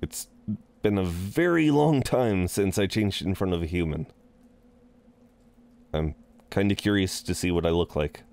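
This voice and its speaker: A man playing an amused, seductive incubus in an intimate roleplay audio drama, teasing and soothing in an unhurried, halting way. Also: low voice, vocal fry, a little mellow. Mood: calm, curious. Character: amused, reassuring, seductive male incubus innkeeper.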